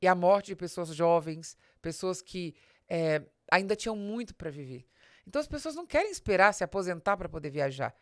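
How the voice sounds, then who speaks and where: A Brazilian woman with a fairly low voice presents a business podcast. She explains in a didactic, direct way, stressing key words and putting questions to the listener. The sound is dry, with very little room in it. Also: animated, brisk, dry recording. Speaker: podcast host and business advisor.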